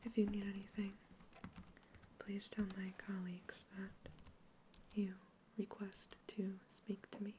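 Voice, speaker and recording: A soft-whispering researcher, played by a woman, politely quizzing a captive alien in a sci-fi ASMR roleplay. Her whisper stays even and flat in pitch, curious and reassuring, with faint noise behind it. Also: a little slow, natural voice. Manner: gentle, curious, reassuring questions.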